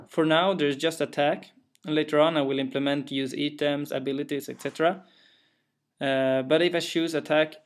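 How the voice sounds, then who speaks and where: A young developer from Sweden, his English carrying a clear Swedish accent, talks through his own game with an untheatrical, matter-of-fact delivery. His voice sits a touch higher than most men's, and he leans on small fillers and careful phrasing as he explains. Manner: careful, plain, a little hesitant with fillers. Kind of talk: narrated gameplay walkthrough.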